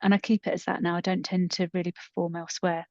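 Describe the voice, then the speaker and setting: A mature woman in her fifties talks casually as a podcast co-host, with a slightly low voice. She rambles chattily and pokes fun at herself. The sound is a little dull and lacking in top end. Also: little pitch movement, fast. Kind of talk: casual conversational storytelling. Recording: muffled, roomy echoing recording.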